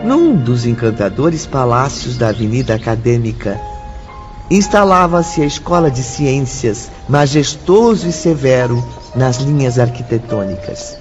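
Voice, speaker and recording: A man plays an evocative storyteller in a Brazilian radio drama. He reads formally and theatrically with wide, lively intonation. Light music sits behind the slightly muffled sound. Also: mid-range voice, measured pace, lightly acted. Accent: Brazilian Portuguese. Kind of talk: dramatic scripted narration. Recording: band-limited audio with music behind.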